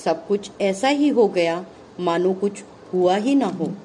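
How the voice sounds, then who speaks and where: A woman narrating a scripted puppet show for children, delivering the story deliberately, phrase by phrase. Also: mid-range voice, lively intonation, very noisy.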